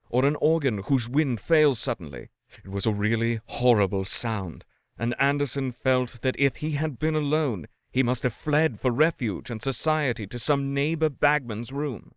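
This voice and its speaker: A man's voice: medium pitch, clear, resonant tone, no echo, studio quality. A man giving a dramatic reading of a literary tale as the narrator. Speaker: story reader.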